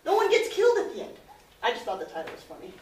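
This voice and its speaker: A woman's voice: high-pitched, huge pitch swings, very fast, a little mellow. Mock-dramatic woman narrator in echoey room. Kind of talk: mock-dramatic theatrical storytelling. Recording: echoey noisy room, distant mic.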